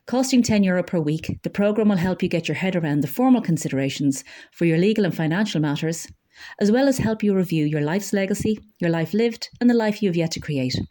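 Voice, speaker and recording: A woman's voice: lowish voice, mellow. A woman reading scripted local news as a community radio newsreader.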